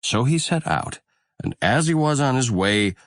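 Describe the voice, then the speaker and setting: A man narrating a fairy tale audiobook in a deliberate, expressive storytelling manner. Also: medium pitch, no room sound, studio quality.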